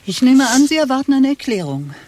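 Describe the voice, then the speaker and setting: An actress playing an imperious, impatient executive director with a clipped, commanding, theatrical manner in a satirical radio play. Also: female voice, high voice, very animated, fairly quick, mellow.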